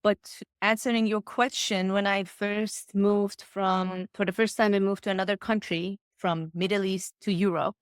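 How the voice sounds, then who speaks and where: A woman's voice: mid-range voice, steady tone, moderate pace, hardly any pauses, smooth, no echo, clean studio sound. A woman from Iran, speaking English with slightly phrasing, talking earnestly and enthusiastically as a podcast guest and coach. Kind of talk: casual podcast interview.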